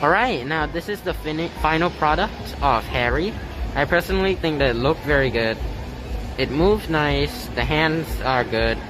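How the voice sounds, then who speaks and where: A man's voice: a little high, normal speed, noisy background. A male student casually narrating a step-by-step craft tutorial.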